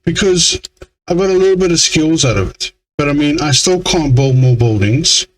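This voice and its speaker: A man's voice: a little high, expressive, moderate pace, rough recording. Exasperated man doing game commentary. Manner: exasperated, grumbling. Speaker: game streamer. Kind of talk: let's play commentary, reading game dialogue aloud.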